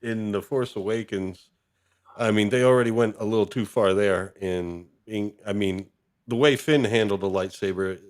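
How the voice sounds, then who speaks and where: A man with a fairly low voice hosts a podcast interview. He speaks informally and conversationally, feeling his way through sentences in an agreeable manner. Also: moderate pace. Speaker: podcast host.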